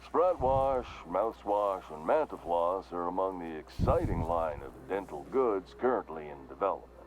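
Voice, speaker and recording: A man's voice: deep voice, animated, moderate pace, a little hiss or hum, rough recording. An actor playing a corporate vendor in a mascot helmet in a video game, with forced salesman patter over weary resignation. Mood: forced salesman cheer. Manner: forced salesman cheer with slogans, slipping into resignation. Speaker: shop vendor.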